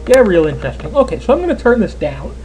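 A man's voice: sits high, sing-song, fast talker, darkish tone, faint music behind, poor audio. Chatty Canadian man in his twenties. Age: 20s. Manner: chatty, rambling, lots of fillers. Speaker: let's player. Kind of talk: casual gameplay commentary.

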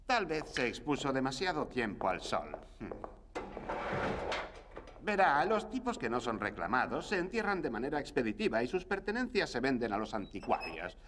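An actor playing a grim, wry morgue keeper who grumbles about bad luck, in a cartoon dub. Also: male voice, mid-range voice, very animated, moderate pace, a bit bright, slight background noise. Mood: grumbling.

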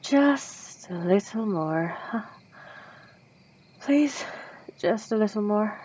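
A woman voicing a refined, possessive female vampire centuries old, speaking in a formal, old-fashioned way in a soft, intimate ASMR roleplay. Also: mid-range voice, expressive, drawn-out words, highs cut off, slight background noise. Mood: sultry, possessive.

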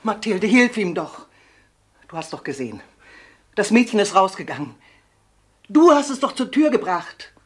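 An actress plays an indignant, protective older mother in a crime radio play. Her mid-pitched voice swings dramatically. She is imperious and agitated. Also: very animated, normal speed, pauses often, lightly acted. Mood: distraught.

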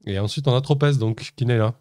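A man's voice: medium pitch, fairly quick, warm tone, dry recording, studio quality. A man narrating as game master of a tabletop game, hesitant, with many fillers and restarts.

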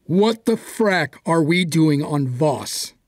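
A man playing a fierce, sardonic dark-side warrior in a sci-fi audio drama. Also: a little high, lively intonation, takes it slowly, dry recording, clean studio sound. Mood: angry.

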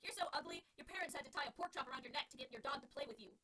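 A woman's voice: on the high side, expressive, rapid-fire, pushed and bright, breathy edge, far from the mic, full character voice. A woman reading a story aloud and voicing a cocky, taunting boy baseball player. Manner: taunting, eager. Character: brash cocky boy ballplayer.

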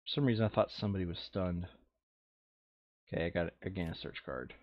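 A man's voice: deep voice, fast talker, no echo. A man playing a board game, chatting casually with another player. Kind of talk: casual gaming chat. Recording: dull, lo-fi voice chat microphone.

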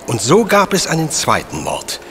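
An actor in a German audio drama playing a brilliant, self-assured professor detective with a precise, didactic, superior manner. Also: male voice, on the high side, huge pitch swings, normal speed, a little hiss or hum. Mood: musing, deducing.

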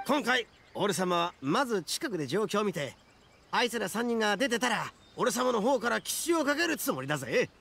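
An actor playing a brash, boastful young male oni gang boss in an anime game, with a cocky, rough swagger. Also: high-pitched, very animated, normal speed, pushed and bright, slight background noise, exaggerated voice.

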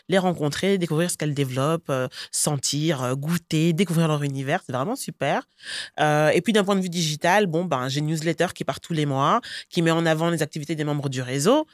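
A woman's voice: sits low, no echo, clean studio sound. Talkative woman in her thirties. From Belgium. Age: 30s. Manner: lively and talkative, frequent "euh" fillers. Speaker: guest entrepreneur. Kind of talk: podcast interview. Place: bright studio set, seated on a sofa. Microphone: studio mic on a boom arm.

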